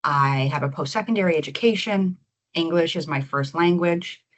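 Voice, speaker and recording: A woman leading a recorded webinar session as an organization's executive director, speaking in a measured, deliberate way. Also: low-pitched. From Canada.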